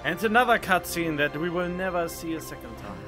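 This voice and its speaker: A woman's voice: lowish voice, animated, a little fast. A woman giving casual let's play commentary, reacting and musing aloud. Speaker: game streamer. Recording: prominent game music behind. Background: video game music.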